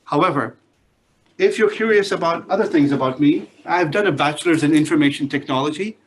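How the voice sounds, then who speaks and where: A man's voice: on the high side, fairly quick, slight background noise, rough recording. Chatty Singaporean-accented male lecturer. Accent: Singaporean English. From Pakistan, grew up in Singapore. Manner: chatty, joking asides, checks in with "all right?". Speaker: business lecturer. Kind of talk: classroom lecture, self-introduction. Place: classroom.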